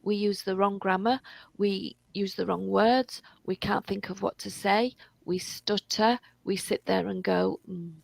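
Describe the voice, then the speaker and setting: Gravelly-voiced British woman tutor. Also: mid-range voice, steady tone, unhurried, dry recording. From United Kingdom. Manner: encouraging, emphatic, repeats words. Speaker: English tutor. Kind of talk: online tutoring conversation.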